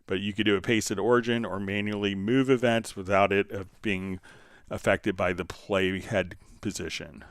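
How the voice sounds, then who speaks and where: A man hosting a live software tutorial in an informal, conversational way, thinking aloud as he demonstrates. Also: lowish voice, fairly even intonation, moderate pace, few pauses, dry recording, studio quality. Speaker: livestream host and software instructor.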